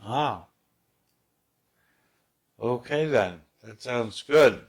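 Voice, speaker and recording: Man, host, casual interview, halting, filler-heavy, dry asides. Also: mid-range voice, animated. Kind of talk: casual radio-style interview.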